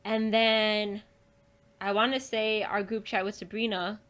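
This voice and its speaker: A woman's voice: medium pitch, level tone, measured pace, a bit bright. A woman chatting casually and informally as a podcast guest, often saying "like". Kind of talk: casual chat.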